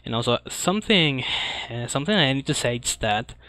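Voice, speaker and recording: A man gives live gameplay commentary in hesitant, halting English. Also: mid-range voice, lively intonation, background noise. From Latin America. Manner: hesitant, halting non-native English phrasing. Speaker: speedrunner commentating own game run. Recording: loud game music throughout. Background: loud video game music.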